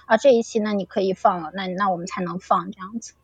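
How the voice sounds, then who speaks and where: A woman's voice: mid-range voice, fairly even intonation, fast talker, dull audio, a crowd in the background. A woman voice actor chatting casually with castmates in a teasing, gushing, encouraging way. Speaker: voice actor in an audio drama. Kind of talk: casual group chat at an audio drama cast event.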